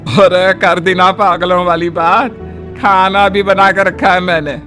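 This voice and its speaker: A man's voice: high voice, steady tone, measured pace, over music. A man voicing a boastful, middle-aged Indian mother-in-law, theatrical and sarcastic, in a Hindi family cartoon. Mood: bossy, dismissive.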